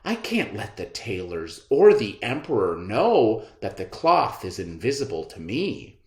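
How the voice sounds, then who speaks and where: A man's voice: fairly low pitch, wildly swooping pitch, measured pace, roomy sound, full character voice. A man voicing an anxious, self-doubting royal servant in a storybook, fretful and theatrical. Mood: worried.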